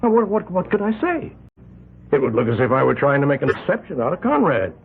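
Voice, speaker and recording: An actor playing an agitated, wealthy older vigilante leader in an old-time radio drama, an American man with a halting, emphatic, self-justifying delivery. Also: a little high, very animated, creaky, slightly rough, exaggerated voice. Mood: harried. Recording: muffled, narrow-band old radio recording.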